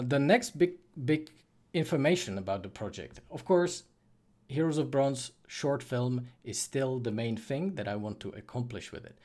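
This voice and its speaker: A man's voice: mid-range voice, darkish tone. A man from the Czech Republic with a Czech accent, casually answering viewer questions as host of a livestream, in a hesitant, self-correcting way. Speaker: livestream host, freelance 3D artist and course creator.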